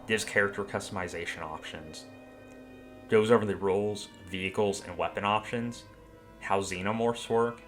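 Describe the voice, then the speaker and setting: Low-voiced man narrating a review. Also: fairly bright tone. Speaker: tabletop game reviewer. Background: background music.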